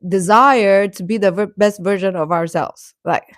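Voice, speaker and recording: A woman hosting a casual, opinionated live chat, speaking haltingly and defensively with repeated words. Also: mid-range voice, no echo, studio quality. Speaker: livestream host and commentator.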